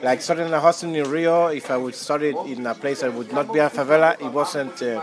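A man's voice: a little high, steady tone, a little fast, crowd noise. A man from Chile who runs a hostel, answering interview questions casually with many fillers and restarts. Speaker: hostel owner.

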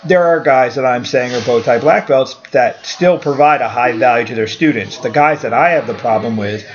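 A man's voice: mid-range voice, normal speed, highs cut off. A man in his forties talking in a casual podcast conversation, blunt and opinionated, swearing now and then. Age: 40s. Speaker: karate school owner, guest.

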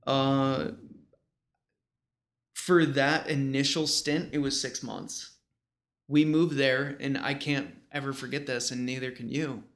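Gravelly, casual American man in his thirties. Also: mid-range voice, steady tone, takes it slowly. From small-town western New York, United States. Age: 30s. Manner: informal, rambling, peppered with slang. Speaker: guest. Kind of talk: casual conversational storytelling.

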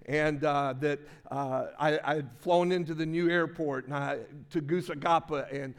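Older male pastor, folksy sermon storyteller, slightly high voice, long church reverb. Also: steady tone, normal speed, smooth. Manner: folksy storytelling with many restarts. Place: church sanctuary.

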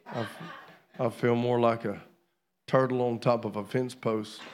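Folksy gravelly preacher in his sixties. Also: medium pitch, a little mellow. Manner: folksy, joking storyteller. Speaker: traveling preacher. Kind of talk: church pulpit speaking. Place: church sanctuary.

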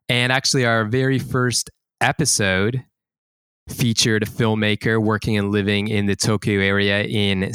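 A man hosting a podcast interview, chatty and relaxed, introducing his guest and asking questions. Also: mid-range voice, smooth, dry recording. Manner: relaxed, chatty, uses fillers like "cool, cool". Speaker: podcast host.

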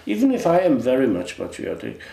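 A middle-aged man from Poland with a Polish accent, chatting as an interview guest in a halting, reflective way with frequent restarts. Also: mid-range voice, wildly swooping pitch, creaky, mellow, roomy sound. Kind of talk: casual interview chat.

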